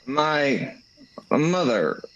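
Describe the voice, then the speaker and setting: A man's voice: on the high side, big pitch swings, extremely slow, a bit bright, lo-fi sound, full character voice. An actor playing an awkward, moping young man in an old-fashioned radio play, hesitant and stammering. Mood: flustered.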